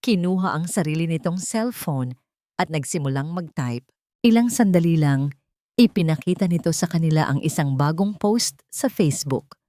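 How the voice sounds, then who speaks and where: Low-pitched woman narrator, dry recording. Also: lively intonation, moderate pace, mellow, no echo. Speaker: story narrator. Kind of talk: scripted dramatic narration.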